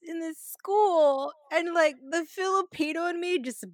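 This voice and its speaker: A woman co-hosting a casual movie review chat, animated, laughing often and restarting her phrases. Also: extremely high pitch, unhurried, no echo, studio quality. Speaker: podcast co-host.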